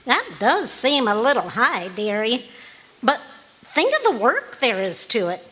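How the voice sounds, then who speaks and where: A woman's voice: fairly high pitch, very animated, unhurried, pushed and bright, breathy edge, heavily acted, low-quality recording. A woman playing a patient, dryly teasing wife in an old-time radio comedy, with an affectionate, wry manner. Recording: live stage performance with background noise.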